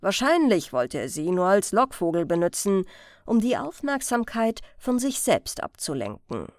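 A woman reading a crime story as an audiobook narrator in a measured, careful storytelling manner. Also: medium pitch, sing-song, a little mellow, dry recording.

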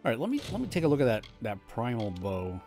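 A man's voice: medium pitch, huge pitch swings, quick pace, vocal fry, mellow, faint music behind. A man in his thirties streaming a game from home, chatting casually and joking about his own play. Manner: chatty, self-mocking, restarts often. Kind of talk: casual live gameplay commentary.

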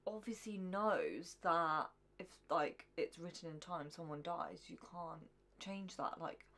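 Low-pitched young woman chatting casually. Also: takes it slowly, a bit bright. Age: young adult. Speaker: reaction show host, a newcomer to the series. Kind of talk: casual reaction chat. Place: small room at home, indoors. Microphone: desk microphone between two hosts.

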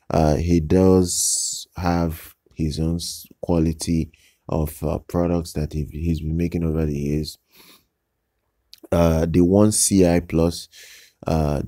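A man giving an unscripted product news commentary as a channel host, halting and hesitant, often repeating words. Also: low-pitched, warm tone, no room sound, clean studio sound. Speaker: gadget review channel host.

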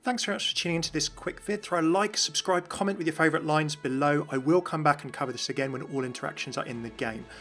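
A man's voice: fairly high pitch, steady tone, fast talker, hardly any pauses, fairly bright tone, faint music behind. Chatty male game lore voice-over host. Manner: chatty, enthusiastic, speculative. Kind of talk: scripted commentary voice-over.

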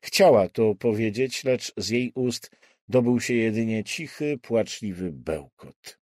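A man narrating a crime thriller audiobook in a deliberate, suspenseful way with dramatic pauses. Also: fairly low pitch, expressive, darkish tone, no echo, clean studio sound.

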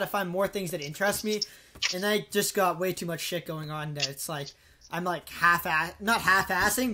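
A young man hosting a podcast, chatting casually in a rambling, profane, energetic way. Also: sits high, a little hiss or hum. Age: young adult. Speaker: podcast host. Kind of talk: casual chat. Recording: echoey room.

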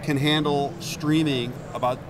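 A man's voice: on the high side, fairly even intonation, unhurried, a crowd in the background. A man in his fifties, a company executive, explaining his product in an upbeat way on a trade show floor, into a handheld mic. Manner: upbeat, explains while pointing at hardware. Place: busy indoor expo hall. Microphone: handheld mic held by interviewer.

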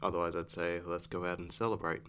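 A man acting a weary, easygoing werewolf boyfriend in an ASMR audio roleplay, speaking in a halting, casual, understated way with dry affection. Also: sits low, completely flat delivery, a bit bright. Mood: resigned, wry. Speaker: roleplay voice actor. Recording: clearly audible background hum.

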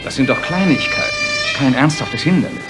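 Man doing a commanding sardonic detective, mid pitch, swoops around a lot, radio play, music behind. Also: lively intonation, brisk, close to an everyday voice. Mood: commanding, precise. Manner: precise, lecturing, sardonic. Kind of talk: German radio play acting.

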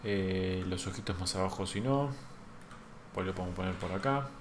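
A man, hosting a live sculpting lesson and thinking aloud as he works, with a soft voice that trails off. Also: low-pitched, normal speed, roomy sound, noisy background. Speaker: host sculptor teaching.

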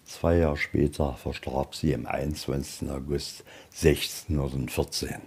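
A man with a husky voice giving an informal, explanatory guided tour as a museum guide. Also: very deep voice, fairly even intonation, unhurried, a little mellow. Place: indoors in a museum.

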